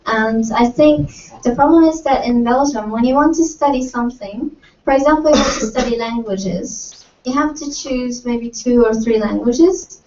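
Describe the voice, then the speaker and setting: A woman's voice: a little high, normal speed, a little mellow, slight background noise. A woman on a student panel answers in a light manner. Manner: light, laughing start. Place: conference room. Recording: echoey, distant, dull room audio.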